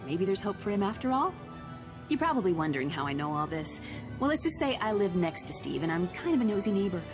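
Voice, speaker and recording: A woman's voice: medium pitch, big pitch swings, fast talker, close to an everyday voice. An actress playing a nosy, gossipy woman neighbor who narrates game cutscenes in a chatty, teasing way. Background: music.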